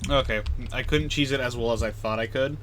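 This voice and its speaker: A man streams a video game with loose, off-the-cuff commentary. He is self-deprecating and swears mildly as he thinks aloud. Faint keyboard clicks and typing sit behind his mid-pitched voice. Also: steady tone, fairly quick, a bit bright. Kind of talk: casual live gameplay commentary. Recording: clear keyboard and typing noise.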